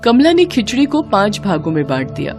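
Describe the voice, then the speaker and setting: A woman's voice: mid-range voice, wildly swooping pitch, brisk, darkish tone, faint music behind. A woman narrator reading a scripted devotional moral story.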